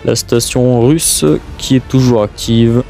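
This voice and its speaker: A man presents a scripted documentary in a halting voice, stumbling now and then as he reads. His pitch sits in the middle range, and music plays behind him. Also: flat delivery, warm tone.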